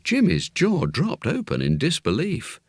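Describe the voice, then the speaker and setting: A man reading a children's story expressively and with measured phrasing. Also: on the high side, huge pitch swings, creaky, slightly rough, no echo, studio quality. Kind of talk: scripted children's story narration.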